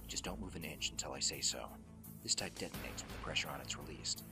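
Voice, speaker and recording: An actor playing a gruff, world-weary special-forces soldier with a gravelly voice and a terse, sardonic deadpan in a radio drama. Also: male voice, very deep voice, fast talker, music in the background. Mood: grim, controlled. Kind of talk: dubbed video game radio drama acting.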